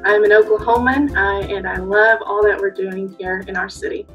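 A woman's voice: medium pitch, steady tone, moderate pace, muffled recording, faint music behind. A woman in her thirties from Mexico whose first language is Spanish, telling stories with fillers and laughter on a video call from home. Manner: warm storytelling, lots of fillers, bursts of laughter. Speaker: guest. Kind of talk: casual interview over video call. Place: home room, indoors. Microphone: laptop webcam on a video call.

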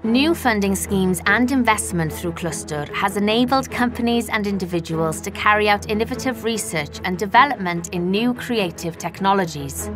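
A woman reading a scripted voice-over in a measured, even way. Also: medium pitch. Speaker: narrator. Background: music.

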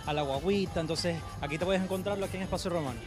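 Chilean man organizer, high-pitched, rapid and eager, music under. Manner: eager, agreeable, repeats "claro". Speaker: event organizer. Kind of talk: on-camera promo interview. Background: background music.

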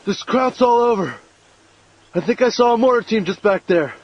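A man's voice: high voice, animated, normal speed, dull audio. A man playing a talkative young American paratrooper in a war game, chatty and wry. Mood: relieved, chatty.

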